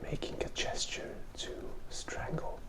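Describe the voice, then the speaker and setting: A person with a cold whispers softly and with pauses, giving ASMR drawing commentary close to the microphone. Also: poor audio. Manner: soft whispering, hesitant pauses. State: has a cold. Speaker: ASMR host and illustrator. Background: drawing tablet and keyboard sounds.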